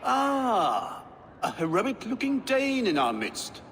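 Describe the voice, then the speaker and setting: A man playing a boisterous, sardonic Saxon drinker in a game cutscene, taunting loudly. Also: very high-pitched, huge pitch swings, slow, in a large hall, slight background noise, heavily acted. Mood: mocking. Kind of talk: dramatic game cutscene acting.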